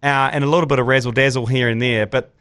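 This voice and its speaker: A New Zealand man hosting a casual sports podcast, rambling and slangy, his voice hoarse. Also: mid-range voice, fairly even intonation, fast talker, a bit bright, no room sound, clean studio sound. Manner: laid-back, rambling, slangy. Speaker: podcast host.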